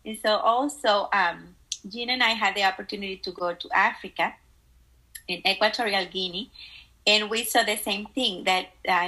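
A woman from the Dominican Republic, a company co-founder, telling stories earnestly as a guest in a casual podcast interview, with many pauses and "and so". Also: mid-range voice, sharp tone, poor audio.

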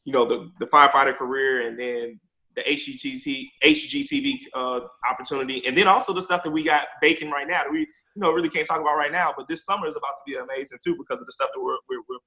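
A man's voice: mid-range voice, steady tone, quick pace, pushed and bright, low-quality recording. A man from Chicago, a real estate investor and guest on a podcast interview, talking energetically and repeating words as he thinks.

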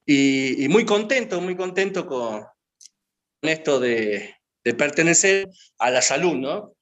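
A man's voice: a little high, lively intonation, slow, fairly bright tone. Rambling man in his fifties. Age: 50s. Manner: rambling, full of hesitations and filler words. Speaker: guest. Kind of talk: reminiscing interview answers. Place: his home.

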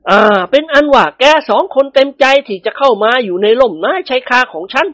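A woman voicing a stern, easily riled older male nobleman who barks and shouts in bursts. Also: sits high, lively intonation, a little fast, no room sound, clean studio sound, pitched above the performer's own voice. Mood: gruff. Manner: gruff barking, shouting in bursts.

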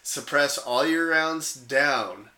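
A man's voice: on the high side, slow pace, bright, forceful tone, reverberant. A man co-hosting a podcast in casual chat, speaking in an informal, blunt way with the odd mild swear. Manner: informal, blunt, mildly profane. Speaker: podcast co-host. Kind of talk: casual chat about guns.

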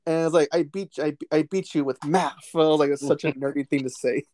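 A man co-hosting a podcast, talking excitedly about a film in a rambling way, with many restarts and fillers. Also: on the high side, brisk, no echo, clean studio sound. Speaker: podcast co-host. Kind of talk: casual movie discussion.

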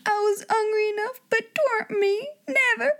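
Woman doing a weeping servant girl, very high. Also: steady tone, normal speed, fairly bright tone. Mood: tearful pleading. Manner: weeping, pleading. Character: sobbing poor young servant girl.

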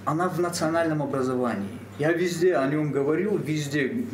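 A man, a theatre actor, speaking earnestly and deliberately in a panel discussion, pausing with hesitations. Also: fairly high pitch. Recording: echoey room, faint background hum.

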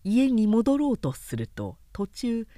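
A woman narrating a historical novel as a scripted recitation, measured and dramatic in delivery. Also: a little high, sing-song, mellow, no echo, studio quality.

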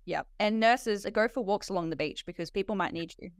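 An Australian woman, a nurse hosting a podcast interview, talking in an animated way with frequent laughs. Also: medium pitch, brisk, dry recording, studio quality. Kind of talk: conversational interview.

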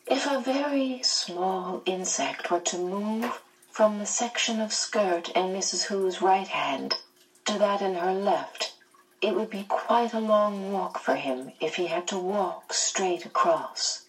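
Lady doing a gentle otherworldly guide, slow explaining, kinda far from the mic. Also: medium pitch, slight background noise, natural voice. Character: kindly, patient otherworldly female guide.

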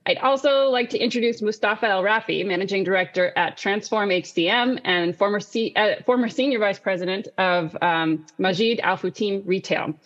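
A woman's voice: medium pitch, lo-fi sound. A woman hosting an online panel, presenting in a semi-scripted webinar style. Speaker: panel host and moderator.

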